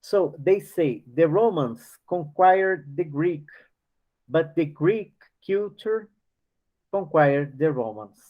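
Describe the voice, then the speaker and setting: A man leading a book study class. He reads passages aloud and explains them, halting often and restarting as he searches for words. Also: a little high, expressive. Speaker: study group leader. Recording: dull lo-fi recording.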